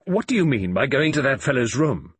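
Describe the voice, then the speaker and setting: A man's voice: medium pitch, wildly swooping pitch, measured pace, breathy edge, no room sound, pitched above the performer's own voice, exaggerated voice. A man voicing an agitated, stern, disapproving English father in an audiobook, ranting and pleading. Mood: angry, forbidding.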